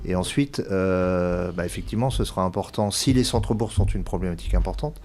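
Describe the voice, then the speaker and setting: A man explaining as a local government administrator in an interview, measured and cautious in his wording. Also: low-pitched, a little mellow. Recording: clear background ambient noise.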